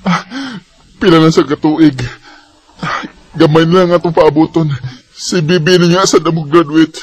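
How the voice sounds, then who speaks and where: A young man voicing a grateful new graduate in a radio drama, earnest and thankful, with a husky voice. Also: fairly high pitch, measured pace, no room sound. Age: young adult.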